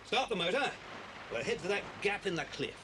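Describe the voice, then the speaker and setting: A man acting a genial, brisk grown-up guardian of children in a 1980s audio drama. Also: high voice, expressive. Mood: brisk, directing. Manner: brisk, avuncular, giving orders. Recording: noisy old cassette tape transfer.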